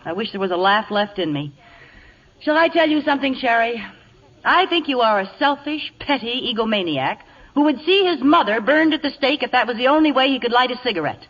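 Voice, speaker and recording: A woman's voice: on the high side, lively intonation, brisk, a bit bright. A woman playing a wry, wounded, outspoken secretary in a 1940s radio comedy, sardonic and heartfelt. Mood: bitter. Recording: dull vintage radio recording, long reverb.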